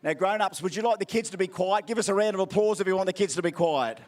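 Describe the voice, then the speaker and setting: A man's voice: high-pitched, quick pace, darkish tone. An Australian man acting as MC at an outdoor event, handing out prizes with playful, teasing crowd banter.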